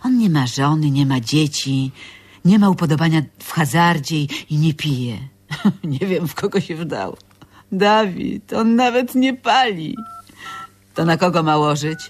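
An older actress playing a wistful, complaining sister in a radio drama, with a plaintive, theatrically reproachful manner. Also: female voice, low-pitched, expressive, measured pace. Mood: aggrieved, stubborn. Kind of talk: naturalistic radio drama acting.

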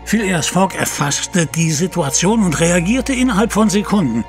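A man's voice: high voice, lively intonation, moderate pace, faint music behind. A man narrating an adventure audio drama in a dramatic, articulate storytelling style.

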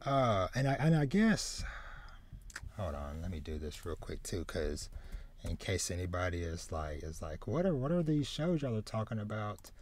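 A man in his thirties hosting a casual podcast chat, halting and repeating words as he starts his sentences. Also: deep voice, very animated, darkish tone. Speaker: podcast host. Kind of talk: casual chat about anime and manga. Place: small home room with shelves, video call.

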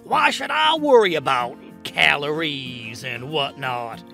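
A woman's voice: low voice, lively intonation, slow pace, gravelly, fairly bright tone, soft background music, full character voice. A woman voicing a goofy, giggly snowman little sister with a twang. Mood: teasing. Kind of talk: amateur audio drama acting.

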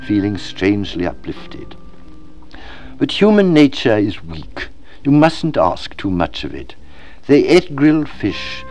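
A man's voice: fairly low pitch, a little mellow. Man reading a story with very varied intonation. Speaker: audiobook reader. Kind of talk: scripted literary narration, expressive storybook reading.

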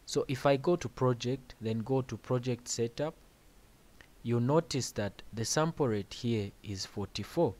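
A man hosting a music-production tutorial, explaining software steps plainly over a screen recording with casual asides. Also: mid-range voice, a little slow.

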